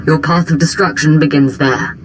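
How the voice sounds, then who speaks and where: A woman playing a smooth, ambitious imperial diplomatic operative in a video game, speaking in formal, polished, deferential phrases. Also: deep voice, moderate pace, slight background noise, lightly acted, rough recording. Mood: courteous, pleased. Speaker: imperial special operations chief. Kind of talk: dramatic video game dialogue.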